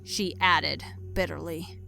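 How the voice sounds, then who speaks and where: A woman's voice: low voice, steady tone, slow, vocal fry, pushed and bright. A woman narrating a dramatic story from a script. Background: music.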